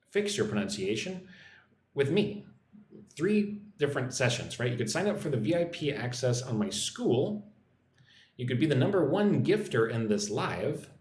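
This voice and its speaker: A man with an American accent hosting a casual English-teaching livestream, reading out comments and greeting viewers in an informal, chatty way. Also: mid-range voice. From United States. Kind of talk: casual live chat, reading out and answering comments. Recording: roomy echo, faint background noise.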